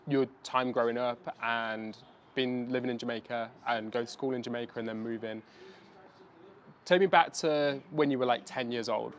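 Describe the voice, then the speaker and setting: A man's voice: medium pitch, fairly even intonation, normal speed. A man hosting a podcast, asking casual questions in a friendly, encouraging way. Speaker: podcast host.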